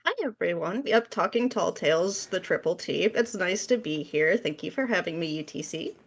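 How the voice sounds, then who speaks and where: A woman being interviewed as a guest, chatting casually in a jokey, animated manner. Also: lowish voice, moderate pace. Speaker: podcast guest, script writer.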